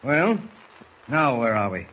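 An actor playing a gruff, impatient newspaper boss, blustery and curt, in 1940s radio drama style. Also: male voice, mid-range voice, big pitch swings, slow pace. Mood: stern, impatient. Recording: muffled old radio recording, faint noise.

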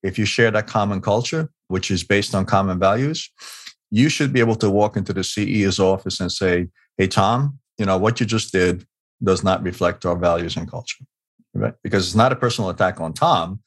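Low-voiced earnest man telling stories. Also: moderate pace, breathy edge, dry recording. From Hungary. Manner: earnest, repeats words, frequent fillers. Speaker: guest, business author. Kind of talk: conversational interview storytelling.

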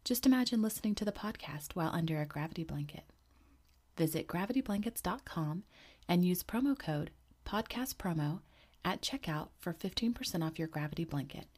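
Woman reading a podcast ad, kinda low and husky, smooth and even. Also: fairly quick. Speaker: ad reader. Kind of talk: scripted sponsor read.

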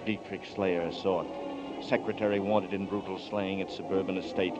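An actor voices a haunted man on the run, telling his story in a low, brooding voice. Music plays under a muffled old radio broadcast. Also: steady tone, normal speed, close to an everyday voice. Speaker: first-person narrator of the drama. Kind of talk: 1940s radio drama narration.

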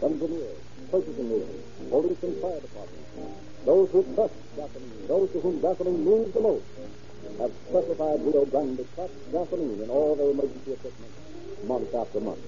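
A man's voice: a little high, sing-song, moderate pace, pauses often, dark tone. A man announcing and narrating from a script in the style of 1930s radio. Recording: dull, lo-fi 1930s radio recording.

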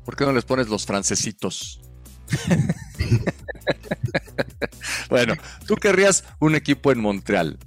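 A man co-hosting a sports podcast, who explains his points in a measured way and teases his partner. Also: medium pitch, big pitch swings, faint music behind. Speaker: podcast co-host. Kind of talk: casual sports chat.

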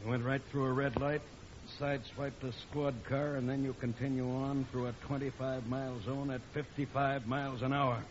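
An actor playing a stern traffic cop, curt and authoritative, in an old radio sitcom. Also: male voice, mid-range voice, level tone, moderate pace, natural voice. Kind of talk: 1940s-50s radio sitcom acting. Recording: dull, noisy old radio recording.

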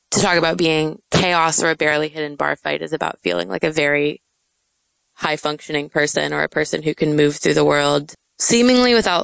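A young woman poet with a soft voice, talking in a hesitant, reflective way in an interview. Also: low voice, gravelly, no echo. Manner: soft-spoken, hesitant, many fillers. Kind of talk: casual interview chat.

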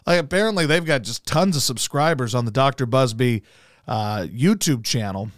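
A hoarse man hosting a casual talk show, rambling in a loose, profane way and doing live ad reads. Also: medium pitch, animated, moderate pace, no room sound, clean studio sound. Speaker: radio host. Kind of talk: casual podcast chat and live ad read.

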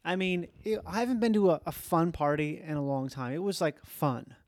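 A man's voice: a little high, normal speed, darkish tone, dry recording. A man hosting a podcast in a casual, comedic chat, talking animatedly and swearing freely. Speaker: podcast host.

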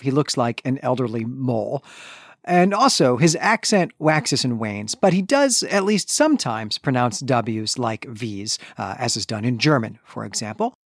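A man co-hosts a book podcast with lively, varied intonation. He explains the story carefully and adds small corrections as he goes. The sound is dry and close. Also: medium pitch, no echo. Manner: explanatory, self-correcting asides. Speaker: podcast co-host. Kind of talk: literary discussion podcast, recapping a story.

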